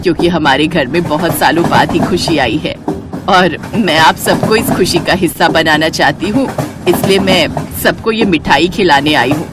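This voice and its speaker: A woman's voice: deep voice, moderate pace, loud music behind the voice, close to an everyday voice. A woman voicing a chatty, falsely kindly woman in her fifties in a children's cartoon story. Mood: cheerful, sharing news. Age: 50s. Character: sweet-talking, deceitful woman of about fifty, a grandmother.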